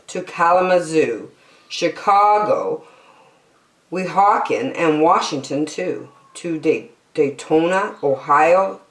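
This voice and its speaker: Female children's storyteller, slightly low voice, very lively intonation, dramatic phrasing, roomy sound. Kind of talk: expressive children's storybook reading. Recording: roomy echo.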